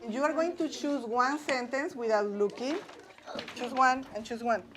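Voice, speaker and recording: A woman's voice: high voice, unhurried. A woman teacher giving instructions to a class in an upbeat way. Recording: noisy classroom crowd.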